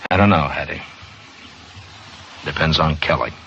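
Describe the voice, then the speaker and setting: An actor playing a stern, steady American frontier lawman in an old radio western, speaking in a measured, grave, commanding way. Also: very deep voice, soft background music. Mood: sober, weary. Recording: muffled, hissy old radio recording.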